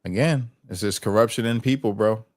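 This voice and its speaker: A man with an American accent talks casually on a livestream. His voice sits fairly low and his phrasing is streetwise and full of slang. The sound is very dry, with no room on it. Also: fairly quick, darkish tone, dry recording. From United States. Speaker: livestream host. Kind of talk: casual livestream political chat.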